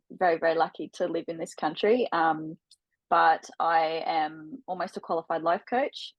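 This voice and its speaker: A young Australian woman in her twenties, speaking as a podcast guest in a chatty way with frequent ums and repeated words. Her voice is notably low for a woman, and the sound is dry and close. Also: dry recording, dull audio. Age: 20s. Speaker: guest, aspiring life coach. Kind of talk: casual interview talk.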